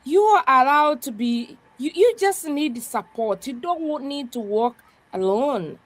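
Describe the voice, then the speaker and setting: Higher-voiced woman pastor with a strong voice. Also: lively intonation, a little slow, dry recording. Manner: earnest, repeats "yeah" often. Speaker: pastor, guest. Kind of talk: podcast conversation, reflective.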